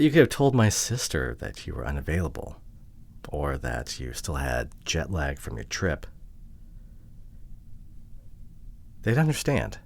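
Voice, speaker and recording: Deep-voiced hurt boyfriend, acted by a man. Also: gravelly, exaggerated voice. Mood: hurt, reproachful. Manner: hesitant, halting, sighing delivery with soft drops. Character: hurt, introverted boyfriend, awkward and apologetic. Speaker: voice actor. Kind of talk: ASMR boyfriend roleplay audio drama. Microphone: close microphone, dry.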